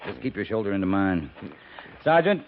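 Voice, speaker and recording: An actor playing a steady, loyal cavalry captain, calm and plain-spoken, in a 1950s radio drama. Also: male voice, on the low side, moderate pace. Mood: firm, discreet. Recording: tinny, noisy 1950s radio recording.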